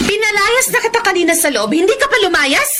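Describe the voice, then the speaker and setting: A woman's voice: extremely high pitch, very animated. A woman playing a cruel, ill-tempered gambling mother, scolding and shrieking in a Filipino radio melodrama. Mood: furious, scolding. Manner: scolding, shrieking, drawn-out insults. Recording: very noisy radio drama audio.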